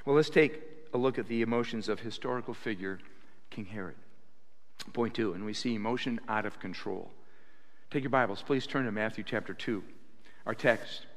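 A man in his sixties preaching a sermon at a pulpit, animated, with bursts of loud acted quotes, speaking into a stand mic in a church sanctuary. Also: fairly low pitch, normal speed. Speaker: pastor. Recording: reverberant church hall.

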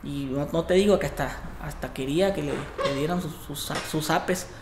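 A man in his thirties with a Mexican accent, telling a story in a casual vlog, profane and animated, acting out what others said. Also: fairly high pitch, normal speed, reverberant, slight background noise, poor audio. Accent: Mexican Spanish. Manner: animated, profane, acts out quoted voices with gestures. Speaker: barber and vlogger. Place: indoor barbershop with brick wall. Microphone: camera at desk distance.

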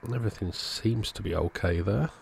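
A man, host of an electronics repair video, calmly thinking aloud as he methodically works on a radio at his workbench. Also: lowish voice, brisk, gravelly, slight background noise. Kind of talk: casual narrated repair walkthrough.

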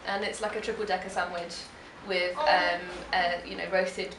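A woman's voice: on the low side, flat delivery, moderate pace, background noise. Chatty woman in an echoey cafe. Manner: chatty, lots of fillers and trailing phrases. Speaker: performance artist and cafe co-founder. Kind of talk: casual interview chat. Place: cafe venue indoors. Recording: echoey cafe room, a bit far, audible ambience.

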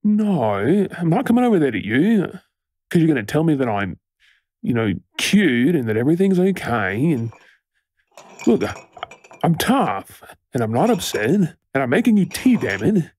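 Husky Australian actor as a frantic, doting boyfriend. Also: male voice, a little high, sing-song, normal speed, darkish tone, dry recording, clean studio sound. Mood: flustered, defensive. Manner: stammering, emotional, affectionate. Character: frantic, tender, fussing boyfriend of a firefighter. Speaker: audio role-play voice actor. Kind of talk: intimate boyfriend role-play, ASMR-style acting.

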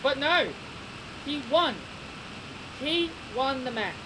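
A young man giving a casual wrestling review from his bedroom, excitable and repeating himself with stammering restarts, recorded on a desk webcam. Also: very high-pitched, slow pace. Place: small bedroom. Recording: noisy home webcam recording.

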